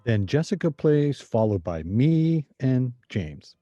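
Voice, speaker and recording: An actor playing an easygoing, dryly blunt friend at a game night in a comedic radio play. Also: male voice, medium pitch, very animated, slow, mellow, dry recording, highs cut off. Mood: dry, impatient. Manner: matter-of-fact, dryly blunt.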